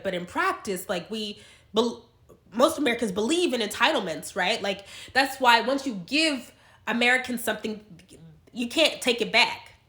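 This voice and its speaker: A woman's voice: mid-range voice, pushed and bright. A woman with a cold and a stuffed-up nose, chatting frankly to camera in a casual vlog and wandering off on tangents. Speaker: vlogger. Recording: echoey room.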